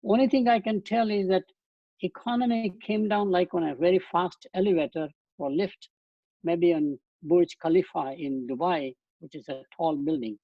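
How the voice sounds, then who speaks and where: A man's voice: high voice, steady tone, normal speed, dry recording. A man in his eighties with an Indian accent, giving a webinar lecture from slides in loose, rambling sentences with frequent restarts, over a video call. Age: 80s. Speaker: guest speaker. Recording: dull dry webcast audio.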